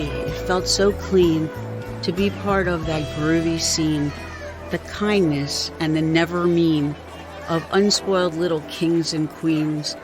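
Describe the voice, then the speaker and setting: Woman, poetry recitation, measured rhythm, slow delivery, lowish pitch, clear music backing. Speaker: writer reading own work. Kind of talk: rhyming poem recitation.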